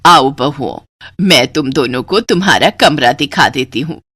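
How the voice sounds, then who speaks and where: A woman acts out an older cartoon mother-in-law who runs the house. She speaks low and drags out her words. Her manner is bossy and instructing. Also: expressive, measured pace, a bit bright, husky, dry recording, heavily acted.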